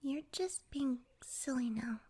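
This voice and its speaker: A woman's voice: sits high, slow, warm tone, breathy edge, heavily acted. A woman voicing a small, clumsy pixie in a fantasy audio roleplay, proud, easily flustered and wary of humans, with a stammering, indignant manner. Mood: wary, bargaining.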